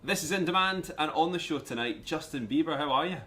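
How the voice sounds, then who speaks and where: A man's voice: a little high, fast, bright, forceful tone, slight background noise. A Scottish man hosting a radio show, trading jokey, upbeat banter with his guest. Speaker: radio host. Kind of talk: chatty interview.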